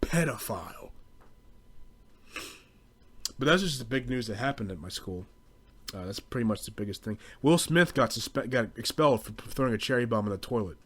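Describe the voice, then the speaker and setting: A man's voice: medium pitch, lively intonation, moderate pace, long pauses, a bit bright. Rambling, slangy young American man. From southeastern Pennsylvania, US. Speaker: podcast host. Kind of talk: casual comedic rant, storytelling.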